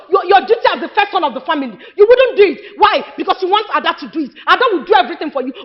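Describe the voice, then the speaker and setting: A woman's voice: very high voice, dull audio. A woman with a Nigerian accent playing an outspoken, indignant daughter who scolds her family with repeated questions in a film drama. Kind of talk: heated film drama acting.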